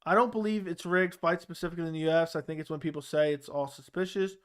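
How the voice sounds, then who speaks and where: A man's voice: on the high side, steady tone, fast talker, smooth. A young man with a Baltimore accent, hosting a live chat and answering viewer comments in a loose, rambling way. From Baltimore area. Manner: loose, rambling, with fillers and restarts. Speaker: host of a live chat show.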